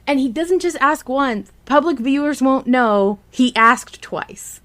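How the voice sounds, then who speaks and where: A woman's voice: high-pitched, expressive, takes it slowly, fairly bright tone. A woman hosting a casual fan podcast, talking in a chatty, enthusiastic way. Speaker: podcast host.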